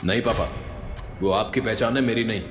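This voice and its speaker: A man's voice: fairly low pitch, fairly even intonation, a little fast, echoey room, big-room sound, faint music behind, lightly acted. A man voicing an irritable, spoiled son in his twenties, whiny and dismissive in a moral story. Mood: irritated. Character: irritable spoiled 24-year-old son, resentful. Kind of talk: animated moral story dialogue.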